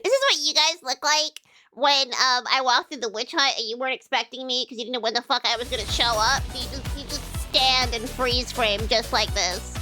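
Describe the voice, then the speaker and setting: A high, bright woman's voice, nasal and stuffed up as if she is fighting a sinus infection. She chats playfully with her viewers, cracks crude jokes and giggles often. Faint music plays underneath. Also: fairly quick, no room sound. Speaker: streamer. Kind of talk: casual live-stream chat. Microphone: streaming microphone.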